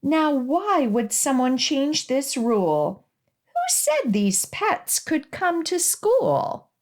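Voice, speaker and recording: A woman children's librarian reading a rhyming picture book aloud for young children, with expressive, measured emphasis on the rhymes. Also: high-pitched. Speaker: children's librarian and storytime host.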